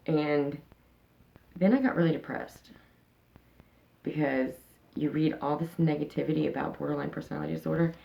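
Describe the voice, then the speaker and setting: Low gravelly woman, frank and rambling. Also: fairly even intonation, normal speed, lo-fi sound. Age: 30s. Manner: rambling, frank, with many fillers and restarts. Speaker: interviewee, channel host. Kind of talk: casual personal interview.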